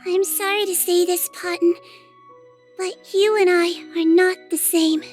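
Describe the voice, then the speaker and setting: Very high, gentle young nurse girl. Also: steady tone, soft background music. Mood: solemn, regretful. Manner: gentle, measured, polite. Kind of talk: video game voice acting.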